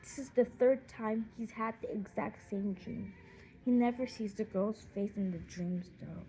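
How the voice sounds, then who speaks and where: A woman narrating a children's audiobook in an expressive storytelling manner. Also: medium pitch, unhurried, a little hiss or hum, poor audio.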